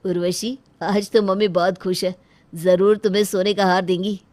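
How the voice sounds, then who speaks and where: A woman's voice: mid-range voice, flat delivery, moderate pace, a little mellow, no room sound, close to an everyday voice. A woman voicing a dry-sounding, coaxing son. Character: reasonable adult son and husband.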